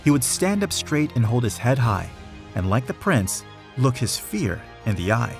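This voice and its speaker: A man reading a rhyming children's story aloud, in a measured, rhythmic storytelling way with clear emphasis. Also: medium pitch, sing-song, soft background music. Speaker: storybook reader.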